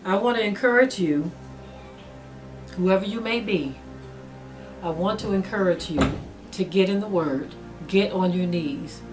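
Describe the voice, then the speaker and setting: A woman preaching a sermon to an online audience, earnest and exhorting, slowing down to stress her points. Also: mid-range voice, music in the background, rough recording. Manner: earnest, exhorting, slow and emphatic with rising intensity. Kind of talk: spoken sermon to a video audience.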